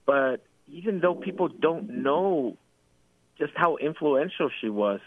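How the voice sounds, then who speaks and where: A man's voice: a little high, lively intonation, unhurried, slight background noise. A man, a historian answering questions as a guest on a radio show, thoughtful and hesitant with many restarts. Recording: tinny phone-line sound.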